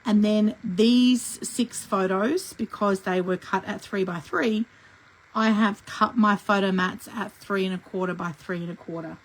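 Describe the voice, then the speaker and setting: A middle-aged woman leads a live scrapbooking lesson, talking through each step in a chatty, friendly way. Her voice is croaky from a cough that hasn't gone away, and she clears her throat now and then. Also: medium pitch, steady tone, unhurried, rough recording. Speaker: scrapbooking instructor. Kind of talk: live craft tutorial.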